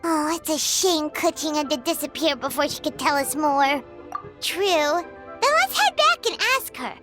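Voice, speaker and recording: Tiny squeaky game fairy kid, super high, bouncy swoopy delivery, feisty n loyal, music behind. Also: female voice, very animated, a little slow, sharp tone, full character voice. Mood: determined. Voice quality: squeaky, childlike. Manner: refers to self in third person, exclamatory, emphatic. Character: small childlike fairy companion, cheerful, loyal, feisty. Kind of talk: video game voice acting. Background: game background music.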